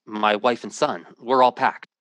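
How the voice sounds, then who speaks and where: An actor playing a frightened, protective husband and father in an old-time radio drama, urgent and halting. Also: medium pitch, fairly even intonation, a bit bright, no echo, natural voice. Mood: nervous.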